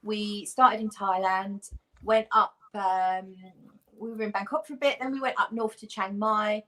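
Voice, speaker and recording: A woman's voice: medium pitch, fairly even intonation, measured pace, pushed and bright. A woman as a guest on a live chat, telling a long anecdote in a rambling, self-deprecating way.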